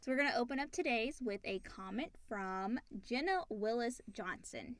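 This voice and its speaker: A woman's voice: fairly high pitch, lively intonation, moderate pace, a bit bright, no room sound. A woman co-hosting a podcast in a casual, conversational way. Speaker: podcast co-host and voice actor. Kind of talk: casual chat. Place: recording booth.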